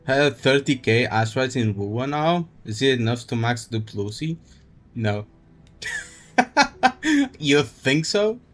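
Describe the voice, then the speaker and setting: A man's voice: mid-range voice, big pitch swings, slow, pauses often, a bit bright. A man doing casual livestream commentary on a game, with a crude, slangy, joking manner.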